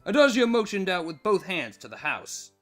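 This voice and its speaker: Lively, expressive story narrator. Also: mid-range voice, normal speed, soft background music. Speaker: narrator, fan fiction reader.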